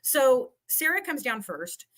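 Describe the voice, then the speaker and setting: A woman's voice: high-pitched, sing-song, slow pace, fairly bright tone. A woman telling a story casually and with animation, emphatic and given to swearing, acting out both sides of conversations. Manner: emphatic, swearing, re-enacts dialogue. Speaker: guest recounting a legal dispute.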